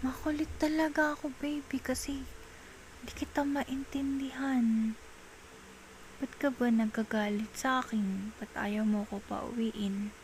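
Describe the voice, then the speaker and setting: A woman with a Filipino accent playing a clingy, coaxing girlfriend in an intimate ASMR roleplay, pleading in soft baby talk. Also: fairly high pitch, steady tone, a little slow, a little hiss or hum, poor audio. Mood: puzzled, pleading. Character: clingy, coaxing girlfriend soothing a jealous partner.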